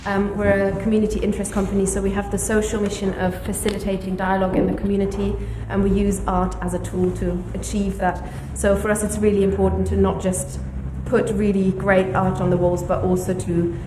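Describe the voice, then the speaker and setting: A woman's voice: medium pitch, little pitch movement, fairly quick, few pauses, background noise. Woman hosting, distant in an echoey room. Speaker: curator and gallery director chairing a talk. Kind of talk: informal spoken introduction to an audience. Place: gallery talk in a room.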